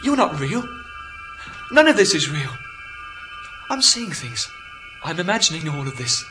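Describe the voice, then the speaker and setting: Radio drama: stranded British astronaut, male actor, high for a man, lonely, agitated and incredulous, strong music bed. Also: big pitch swings, a little slow. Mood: anxious, disbelieving. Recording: prominent music behind the voice.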